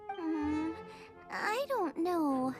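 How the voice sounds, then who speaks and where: A woman's voice: very high voice, expressive, very slow, full character voice. A woman voicing a cheerful, stubbornly creative young girl chef in a video game, with perky, emphatic delivery. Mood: modest, hesitant. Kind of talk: English video game dialogue. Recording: studio voice over game music.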